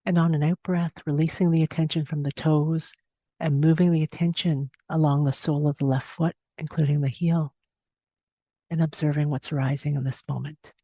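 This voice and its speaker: A woman guiding a meditation as a teacher, giving gentle, unhurried instructions with long pauses between phrases. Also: deep voice, flat delivery, no room sound. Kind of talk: guided body scan meditation narration.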